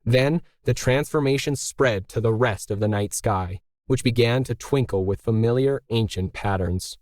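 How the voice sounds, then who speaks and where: A man's voice: mid-range voice, normal speed, darkish tone, dry recording, studio quality. A man narrating scripted fiction as a storyteller.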